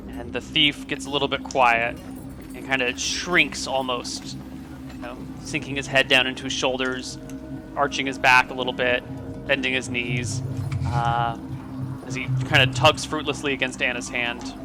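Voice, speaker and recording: Laid-back man running the game on a video call, mid pitch, music bed. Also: normal speed. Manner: relaxed, descriptive, with fillers. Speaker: game master. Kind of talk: narrating a tabletop game.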